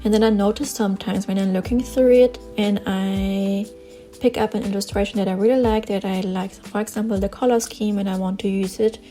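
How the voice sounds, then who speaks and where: German-accented woman, soft mellow voice. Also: mid-range voice, steady tone, brisk, soft background music. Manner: earnest, plain-spoken, with small word searches and repeats. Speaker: artist vlogger. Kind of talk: casual talk over a drawing session.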